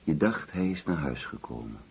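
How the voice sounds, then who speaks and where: An actor playing a weary, regretful old husband in a Dutch radio play, speaking in terse, questioning phrases. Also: male voice, very deep voice, lively intonation, moderate pace, a little mellow, husky, exaggerated voice. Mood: guarded, questioning. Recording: faintly noisy old radio recording.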